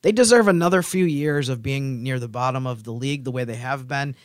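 A man co-hosting a casual sports podcast, explaining his points in a measured way. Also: medium pitch, no room sound, clean studio sound. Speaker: team writer, podcast co-host.

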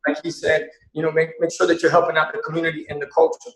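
A man's voice: fairly high pitch, completely flat delivery, quick pace, creaky, echoey room, low-quality recording. A man guest speaking earnestly and motivationally, mixing in Spanish phrases. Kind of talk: casual chat turning to earnest appeal.

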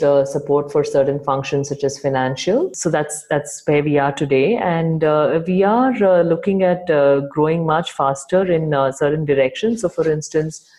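A woman's voice: low voice, warm tone. A woman from Chennai, a Tamil speaker, answering podcast interview questions thoughtfully as an entrepreneur guest. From Chennai, India.

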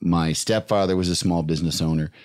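A man's voice: low voice, darkish tone. A man hosting a podcast in casual, comedic conversation, often interrupting himself and swearing freely. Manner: halting, self-interrupting, profane. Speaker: podcast host.

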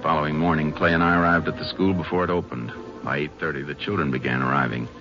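An American man acting a calm, methodical Texas Ranger in a 1950s radio drama, speaking in a measured, understated way. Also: very deep voice, music in the background. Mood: matter-of-fact narration. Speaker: lead actor and narrator. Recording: noisy, muffled old radio broadcast recording.

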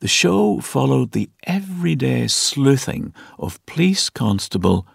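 A man's voice: medium pitch, sing-song, measured pace, gravelly, slightly rough, no echo, clean studio sound. A man narrating a scripted audio documentary. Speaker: podcast narrator.

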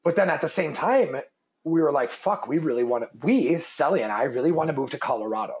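A man in his thirties with a very deep voice, talking casually and emphatically in an interview, swearing freely and repeating words as he starts his thoughts. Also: lively intonation, brisk. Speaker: guest, business founder and father.

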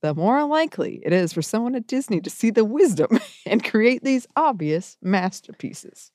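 A woman co-hosting a podcast, enthusiastic and animated, laughing as she reads listener mail. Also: on the high side, moderate pace, dry recording, clean studio sound. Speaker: podcast co-host. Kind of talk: casual chat and reading listener mail.

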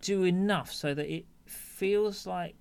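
A man's voice: high-pitched, takes it slowly, vocal fry, a little mellow, clear, resonant tone. A man co-hosting a podcast in casual chat, thoughtful and hesitant as he searches for the right words, with a dry self-mocking humor. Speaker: podcast co-host.

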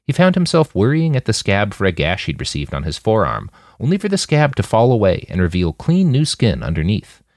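A man's voice: lowish voice, wildly swooping pitch, fairly quick, few pauses, darkish tone, no echo, studio quality. A man reading scripted fiction as the narrator of an audiobook-style podcast.

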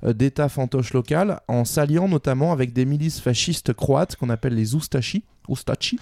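A man's voice: mid-range voice, moderate pace, a little mellow, no echo, clean studio sound. A man co-hosting a casual history discussion, explaining in a didactic but colloquial way.

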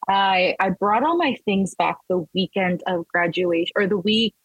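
A woman's voice: mid-range voice, normal speed, husky, no room sound, muffled recording. A woman in her thirties, raised in Las Vegas, talking casually as an interview guest, wandering through her thoughts with restarts. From Texas, raised in Las Vegas. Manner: rambling, with many restarts and fillers. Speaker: guest artist.

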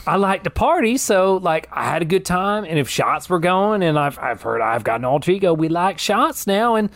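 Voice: medium pitch, lively intonation, moderate pace, vocal fry, fairly bright tone. A performer playing a nervous young woman suspect who stammers, drawls and gets defensive under questioning. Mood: flustered. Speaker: interviewee.

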